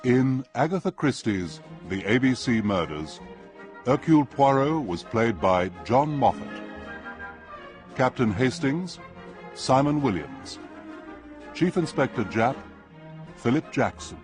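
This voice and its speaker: A man announces in a scripted broadcast style. His mid-pitched delivery is slow and varied in intonation, with music clearly playing behind him. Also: very animated.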